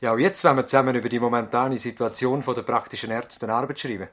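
An actor playing an earnest, ambitious young hospital doctor in a radio play, with a Swiss German accent and a measured, formal manner. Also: male voice, mid-range voice, fairly even intonation, fairly bright tone, dry recording, studio quality, close to an everyday voice. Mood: polite, reserved. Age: young adult. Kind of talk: 1970s radio drama narration and dialogue.

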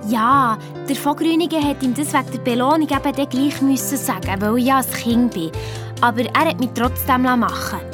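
High-voiced Swiss German girl detective, played by a woman. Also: fairly quick, over music, lightly acted. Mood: proud, eager. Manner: lively, colloquial retelling, quoting others. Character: eager, chatty girl detective, child of hotel owners. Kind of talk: first-person storytelling in a children's audio drama.

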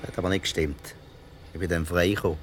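Actor as older swiss guy, really low voice, dry and thoughtful, radio drama, swiss german accent, some outdoor-ish noise behind. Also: fairly even intonation, fast talker, lightly acted. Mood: reflective, wry. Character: older man reminiscing about his childhood over beer. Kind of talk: radio play dialogue.

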